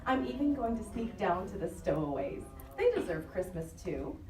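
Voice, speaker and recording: A woman playing a sweet, earnest, cheerful young singer in a live audio drama, with a coaxing, expressive delivery. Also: medium pitch, moderate pace, recorded from a distance, soft background music, lightly acted. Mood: earnest, tender. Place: convention live show stage. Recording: echoey live stage, off the mic.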